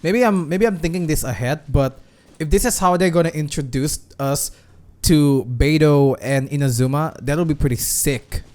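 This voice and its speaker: A man's voice: a little high, normal speed, darkish tone, faint music behind. A man casually reacting to a game playthrough as a streamer, slangy and reactive. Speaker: gaming streamer.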